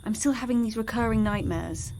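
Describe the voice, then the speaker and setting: A woman's voice: on the high side, a little fast, darkish tone, slight background noise, natural voice. A woman playing a sassy but compassionate member of an investigating team in an audio drama, earnest and assertive. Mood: uneasy.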